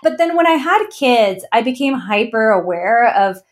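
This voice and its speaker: A woman's voice: fairly high pitch, sing-song, moderate pace. Earnest woman from Ecuador, laughing often. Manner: earnest, laughs often while telling her story. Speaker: founder guest. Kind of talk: interview conversation.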